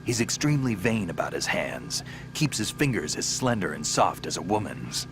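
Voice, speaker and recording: A man playing a gruff, guarded veteran soldier in a video game, with a terse, dry, sardonic delivery. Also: low-pitched, very animated, moderate pace, over music, full character voice, rough recording. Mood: wry, dismissive. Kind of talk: dramatic video game voice acting.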